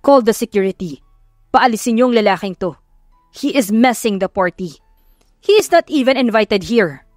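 A woman's voice: on the high side, lively intonation, normal speed, no echo, studio quality, soft background music, lightly acted. A woman voicing a stern, protective male CEO suitor in an audiobook romance drama, firm and scolding. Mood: commanding.

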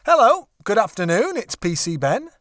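A cheerful, friendly police constable, played by a male narrator, in a raised pitch. He greets briskly and politely, in the manner of a children's storybook. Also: big pitch swings, a bit bright, dry recording, clean studio sound.

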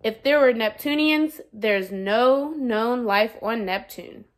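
A woman's voice: on the high side, slow. A woman voicing a boastful, playful, self-important Sun from a children's picture book. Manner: bragging, showy. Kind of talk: children's storybook read-aloud.

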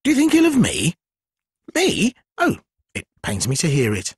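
A man playing a sly, mocking, fawning giant spider with a human head in a dark fantasy video game, speaking in archaic, theatrical, mock-obsequious phrases. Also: high-pitched, wildly swooping pitch, normal speed, breathy edge, no room sound, studio quality, full character voice. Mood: dismayed, wheedling.

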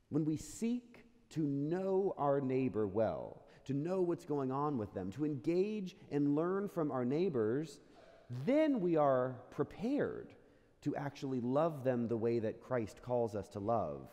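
A middle-aged American man preaching a sermon as pastor, earnest and deliberate, speaking into a pulpit microphone in a church. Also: medium pitch, dark tone, long reverb tail. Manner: earnest, deliberate rhetorical delivery with questions and pauses. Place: large church sanctuary, indoors. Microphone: pulpit gooseneck microphone.

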